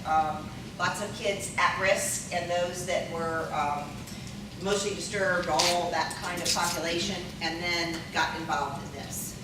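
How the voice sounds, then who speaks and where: A middle-aged woman from Maryland giving a conference talk with a loud teacher voice, full of ums and questions to the room. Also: medium pitch. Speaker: conference speaker. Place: conference hall. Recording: echoey hall, distant mic, noisy.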